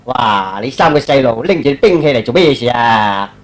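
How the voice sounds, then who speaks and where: A man's voice: mid-range voice, lively intonation, moderate pace, pitched below the performer's own voice, close to an everyday voice. A man playing a mischievous, boastful monkey disciple in a Cantonese radio drama, teasing as he talks. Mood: boastful, amused.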